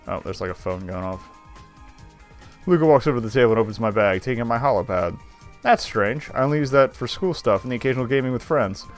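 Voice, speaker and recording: A man's voice: medium pitch, animated, fast. A male game streamer reading visual novel narration aloud, with wry, sardonic asides. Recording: faint music behind voice.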